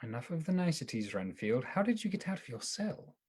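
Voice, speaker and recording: A woman playing an earnest, stern and excitable male asylum doctor in a radio play. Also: low voice, a little fast, breathy edge, exaggerated voice.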